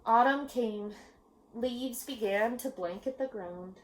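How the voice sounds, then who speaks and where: A woman reading a children's picture book aloud in a measured, expressive storytelling manner with pauses. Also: a little high, noisy background. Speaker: storybook reader. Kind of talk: children's read-aloud narration.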